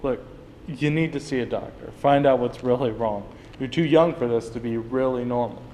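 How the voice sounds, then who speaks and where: A man acting in a play reading as a steady, plain-spoken friend who coaxes and reassures, recorded in a noisy room. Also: mid-range voice, moderate pace, in a large hall, close to an everyday voice. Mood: firm, urging. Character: Frank, steady, reassuring male friend, no longer young. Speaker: drama student actor. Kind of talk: dramatic reading of a stage play.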